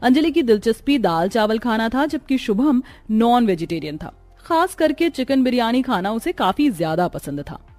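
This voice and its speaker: Female cartoon story narrator, fairly high pitch, dry studio sound, light music bed. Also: big pitch swings, normal speed, darkish tone, no echo.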